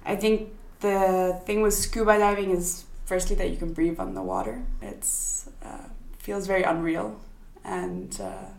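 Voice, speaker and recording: A young woman from Sweden talks as a podcast guest, her voice sitting a little low. She thinks aloud, with fillers and restarts, and the sound carries a roomy echo. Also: animated, a little slow, vocal fry, hardly any pauses, darkish tone. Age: young adult. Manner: thoughtful, many fillers and restarts. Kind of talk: casual interview.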